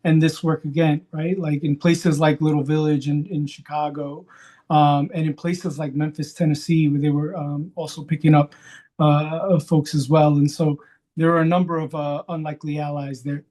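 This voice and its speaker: A man from Queens, New York, speaking off the cuff as a panelist in a reflective, halting way, punctuated by checks for agreement. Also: on the high side, little pitch movement, warm tone. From Queens, New York, USA. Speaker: panelist, community organizer. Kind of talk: unscripted panel talk.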